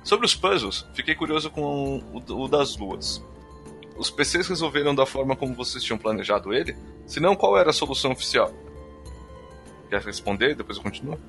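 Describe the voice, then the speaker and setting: Man, Brazilian accent, bright forceful voice, brisk delivery; casual, irreverent podcast co-host, faint music behind. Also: mid-range voice, expressive. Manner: irreverent, slangy. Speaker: podcast co-host reading listener feedback. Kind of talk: casual reading aloud and banter.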